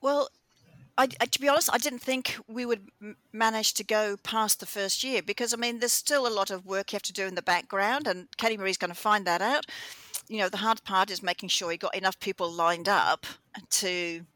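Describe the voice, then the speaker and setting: Woman, mixed English-Australian accent, bright chatty radio host, dry close sound. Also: medium pitch, dry recording. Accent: mix of English and Australian. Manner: chatty, animated storytelling with quick restarts. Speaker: campus radio show host, interviewed as guest. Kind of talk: conversational interview answer.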